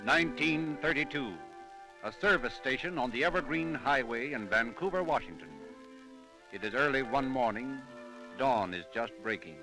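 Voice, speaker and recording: A man's voice: mid-range voice, expressive, normal speed, music in the background, poor audio. A man giving terse, solemn, scripted scene-setting narration in an old-time radio drama.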